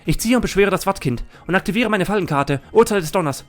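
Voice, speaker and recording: A man's voice: high-pitched, expressive, quick pace, fairly bright tone, voice pushed up. A man voicing a cocky, sneering duelist who taunts and boasts, in an anime fan audio drama. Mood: taunting. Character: cocky, sneering male Thunder Dragon card duelist.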